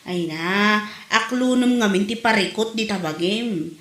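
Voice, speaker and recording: A woman's voice: medium pitch, a little slow, fairly bright tone, lightly acted. A woman playing a scolding, preachy character in a comedy drama, with an Ilocano accent. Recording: echoey room.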